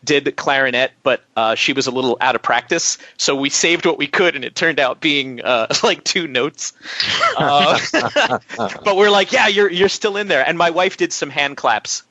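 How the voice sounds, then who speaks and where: A man's voice: a little high, normal speed, bright, forceful tone, no echo, lo-fi sound. A man speaking as a musician guest in an interview, telling detailed, chatty anecdotes.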